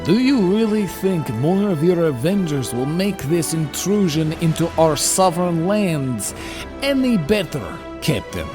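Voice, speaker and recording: A man playing a stern male superhero leader who makes formal, measured demands. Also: a little high, very animated. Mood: commanding. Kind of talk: fan audio drama acting. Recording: voice over music score.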